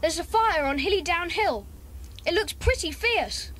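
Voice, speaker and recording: A woman's voice: very high voice, animated, measured pace, fairly bright tone, full character voice. An actress playing a confident, sensible schoolboy who leads his club of friends in a children's audio drama. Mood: urgent.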